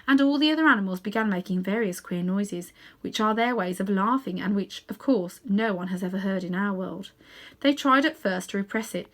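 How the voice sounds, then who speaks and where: A woman's voice: mid-range voice, a little fast, fairly bright tone. A woman reading a storybook aloud, narrating expressively with casual asides to the listener. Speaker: storybook reader.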